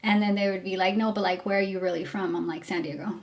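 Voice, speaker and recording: A woman's voice: medium pitch, steady tone, fast talker. A young woman from Mexico talking candidly to camera, frank and full of fillers, about her time as a driver. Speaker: former rideshare driver. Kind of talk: casual storytelling to camera.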